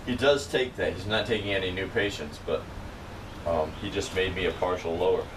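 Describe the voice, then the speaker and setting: A man's voice: low-pitched, fairly quick. A man from upstate New York telling a long, rambling personal story in casual chat. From Norwich, New York. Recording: distant mic with steady background noise.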